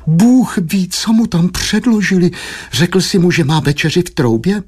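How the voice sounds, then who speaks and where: A man's voice: very animated, a little mellow, slightly rough. A man voicing an anxious, doting wife and mother in an audiobook, moaning and fretful, full of insistent questions. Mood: distressed prying. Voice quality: high, plaintive, moaning.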